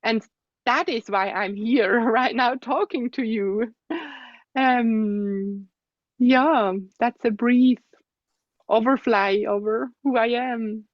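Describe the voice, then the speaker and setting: A young adult woman with a Swiss accent, a guest speaking thoughtfully in an interview, with soft laughs and hums of agreement. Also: on the high side, slow, dry recording, muffled recording. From Switzerland. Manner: thoughtful, soft-spoken, frequent gentle laughs and hums of assent. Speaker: guest, osteopath. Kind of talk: reflective interview conversation.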